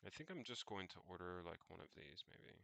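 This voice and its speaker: A man casually thinking aloud as he narrates his screen on a livestream, pausing mid-sentence and muttering to himself. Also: low-pitched, animated, creaky, pushed and bright, no echo. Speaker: solo livestreamer.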